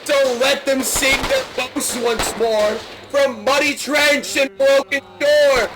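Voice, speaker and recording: A man recites mock-heroic verse at the top of his very high-pitched voice. He stretches the lines slowly and declaims them like a slam poet in a game chat, with loud music behind him. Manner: declamatory, drawn-out, shouting over game action. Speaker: video game player reciting a mock poem.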